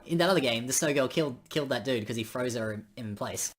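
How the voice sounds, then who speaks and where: A woman with a husky voice reading game dialogue aloud on a stream, with casual asides. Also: very deep voice, lively intonation, fast talker.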